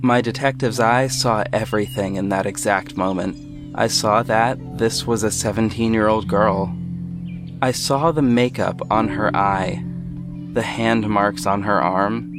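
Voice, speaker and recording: A man narrating an audio drama in the first person, in a reflective storytelling style. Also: fairly low pitch. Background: music under the voice.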